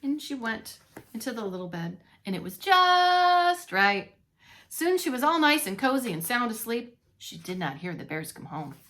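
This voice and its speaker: Animated woman reading a children's story. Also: fairly high pitch, moderate pace. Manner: animated, with playful asides to young listeners. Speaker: preschool teacher reading a story aloud. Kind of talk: expressive children's read-along narration.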